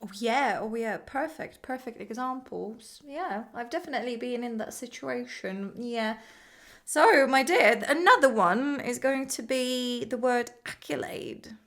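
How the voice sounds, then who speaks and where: A woman's voice: on the high side, unhurried, fairly bright tone. A woman English teacher chatting casually with an AI tutor, laughing and bemused, calling it "my dear". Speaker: English teacher hosting the chat. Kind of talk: casual chat.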